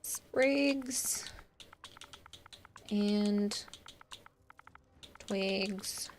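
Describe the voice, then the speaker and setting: A woman's voice: mid-range voice, drawn-out words, a little hiss or hum. A young woman with a Boston accent talks to her live-stream chat in a chatty, reassuring way. She replies to viewers and thanks them as she goes. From Massachusetts.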